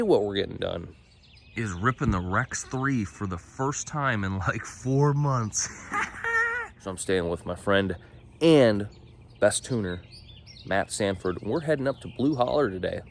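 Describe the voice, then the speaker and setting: A man's voice: fairly low pitch, moderate pace. A man with a nasal, congested voice talks easily to the camera, upbeat and chatty. He sounds like an off-road vlogger. Faint ambient noise sits behind him. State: stuffy from a cold. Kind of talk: casual vlog narration to camera.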